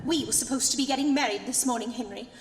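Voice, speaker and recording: A woman's voice: a little high, quick pace, lightly acted. A woman playing a spoiled, melodramatic young fiancée who scolds and whines in a comedic horror audio drama. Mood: indignant. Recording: echoey hall, noisy, clicks.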